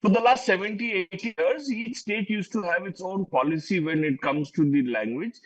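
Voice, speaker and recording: A man's voice: sits high, moderate pace, dull audio. A man whose first language is Urdu, arguing emphatically as a panelist in a heated TV debate and repeating words as he presses his point.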